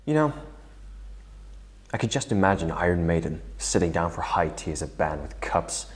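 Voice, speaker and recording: A man acts a deluded wannabe drummer in a sitcom. The voice is fairly low, and he is earnest and defensive about his rock heroes. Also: lively intonation, normal speed. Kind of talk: sitcom table read acting.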